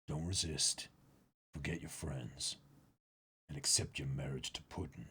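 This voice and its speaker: A man voicing a cold, imperious royal villain with a measured, threatening manner in a manga reading. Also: very deep voice, a bit bright, breathy edge, voice pushed down, exaggerated voice.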